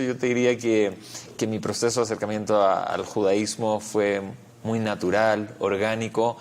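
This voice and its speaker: A man with a Chilean accent, a rabbi answering interview questions reflectively, explaining and repeating words as he finds his phrasing. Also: on the low side.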